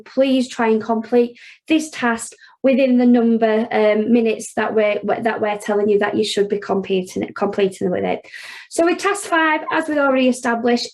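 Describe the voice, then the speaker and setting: A woman's voice: on the high side, a little mellow. A woman with a Yorkshire accent, an accounting tutor leading a live online revision class, talking casually and filling gaps with "okay" and "um". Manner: chatty, frequent "okay" and "um", talks students through steps.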